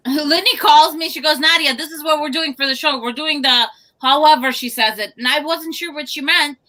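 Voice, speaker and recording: A woman's voice: high-pitched, moderate pace, sharp tone. A woman crafting with friends on a video call, chatty and telling stories with acted-out quotes. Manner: chatty storyteller, acts out quotes. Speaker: crafter guest. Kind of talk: casual group chat.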